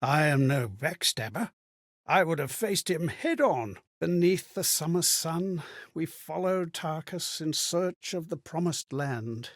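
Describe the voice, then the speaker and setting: An actor voicing an earnest, melancholy male spirit with no memory of his own, speaking a video game monologue in formal, measured, theatrical phrases. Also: on the high side, animated, no room sound, clean studio sound, full character voice. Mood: bleak. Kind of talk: dramatic scripted game monologue.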